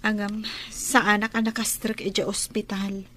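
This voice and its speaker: A woman's voice: medium pitch, flat delivery, normal speed, a bit bright, close to an everyday voice. A woman acting as a worried, grateful pregnant wife who speaks deferentially in a radio drama. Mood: deferential, anxious. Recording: noticeable background ambient noise.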